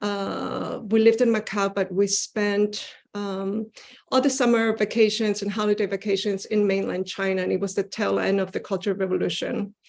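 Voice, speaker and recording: A woman from Ecuador giving an earnest seminar talk about her art over a video call, pausing often with "uh" and "um". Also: mid-range voice, flat delivery, vocal fry, a little mellow. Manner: earnest, with frequent "uh" and "um" fillers. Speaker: guest artist presenting her work. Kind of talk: online seminar presentation with slides.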